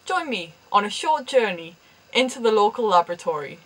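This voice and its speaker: A woman's voice: medium pitch, sing-song, fairly quick, rough recording. A young woman from Newcastle with a Geordie accent, a university student explaining her research in a chatty vlog with dry humorous asides. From Newcastle, England.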